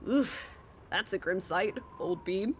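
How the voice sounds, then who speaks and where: A woman's voice: medium pitch, slow, slight background noise, natural voice. An actress playing a sociable, cheeky young woman of the 1920s, theatrical and teasing, in a period drama video game. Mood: sympathetic. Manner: playful, teasing, old-fashioned slang.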